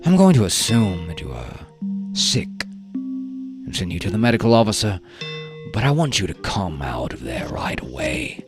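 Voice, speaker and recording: Man acting as stern, commanding colonel, lively pitch. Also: normal speed, music in the background. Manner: authoritative, clipped orders. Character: stern senior male colonel, commanding, suspicious superior officer. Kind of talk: live science fiction radio drama acting.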